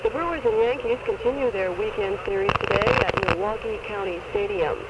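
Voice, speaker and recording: A man's voice: sits high, brisk, muffled recording. A man reading scripted sports news, captured on a 1970s AM radio recording. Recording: very noisy 1970s AM radio taping.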